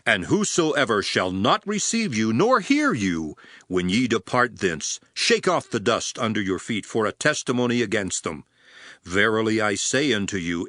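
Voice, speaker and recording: A man playing a solemn, authoritative male religious teacher in a dramatized scripture reading, with measured, emphatic delivery. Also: lowish voice, a bit bright, no room sound, studio quality. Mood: stern warning. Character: Jesus, solemn authoritative male teacher addressing his disciples. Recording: music behind the voice. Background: background music.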